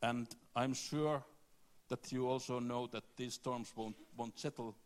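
A man giving a conference presentation, halting and repeating words as he goes. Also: mid-range voice, fairly even intonation. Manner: halting, repeats words, non-native phrasing. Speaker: conference speaker.

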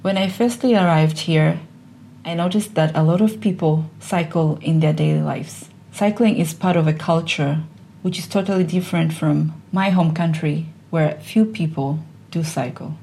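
A woman, telling a personal story in an earnest, measured way. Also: low-pitched, vocal fry, mellow, a little hiss or hum. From Tanzania.

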